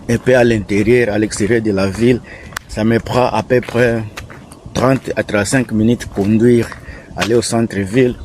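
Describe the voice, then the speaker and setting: A man's voice: mid-range voice, a little mellow, slight background noise. A man speaking French with an African accent, not his first language, giving earnest, repetitive advice to his viewers while vlogging outdoors on a residential street. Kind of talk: casual vlog talking to viewers.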